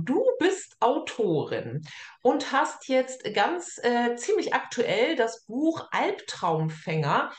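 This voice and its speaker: A middle-aged woman hosting a casual online author interview in a chatty, informal way. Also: fairly high pitch. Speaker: interview host. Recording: echoey, roomy sound.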